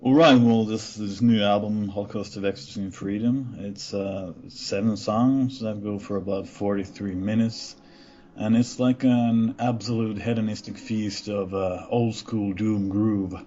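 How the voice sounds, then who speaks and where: A man with a Finnish accent talks in a relaxed, halting way, often filling gaps as he gathers his thoughts. His voice sits fairly low. The sound is dull and lo-fi, with a faint hiss behind it. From Finland. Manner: relaxed, halting with many uhs. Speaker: band member interviewed. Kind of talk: casual interview.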